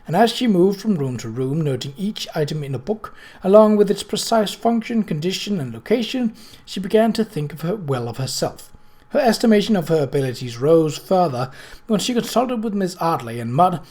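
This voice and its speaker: Higher-pitched man reading a story. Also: a little mellow. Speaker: audiobook reader. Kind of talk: scripted storybook narration.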